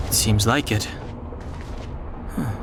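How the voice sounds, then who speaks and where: A man voicing a serious, level-headed young man in a fantasy video game, measured and matter-of-fact. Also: lowish voice, creaky, very noisy. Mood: grave, thoughtful. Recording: loud game ambience over voice.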